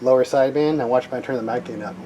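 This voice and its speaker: A man's voice: medium pitch, fast talker, warm tone, lo-fi sound, slight background noise. A man giving a casual hands-on tech demo as a hobbyist, talking informally and rambling as he thinks aloud. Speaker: hobbyist radio operator demonstrating equipment.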